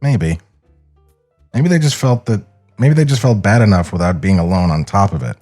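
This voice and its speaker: Man playing mild 1930s gentleman, conciliatory, understated, varied pitch, screenplay table read. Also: expressive, moderate pace, soft background music. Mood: gentle, resigned. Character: mild, placating 1930s man at an awkward dinner.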